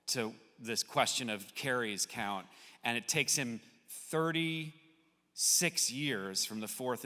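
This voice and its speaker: A man moderating an audience discussion in a deliberate, emphatic way. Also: mid-range voice, bright, forceful tone. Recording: reverberant hall sound.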